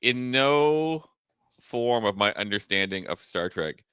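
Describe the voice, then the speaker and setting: A man with a fairly low voice that is crisp and forward, recorded dry. He co-hosts a podcast in casual banter, jumping in to tease. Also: expressive, takes it slowly, sharp tone, no echo. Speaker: podcast co-host.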